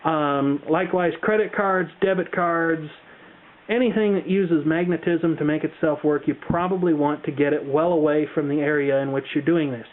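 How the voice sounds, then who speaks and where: A man's voice: on the high side, steady tone, normal speed. An American man presenting a casual how-to demonstration, explaining things in a chatty, careful way. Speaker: tutorial presenter. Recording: thin, phone-like band-limited sound.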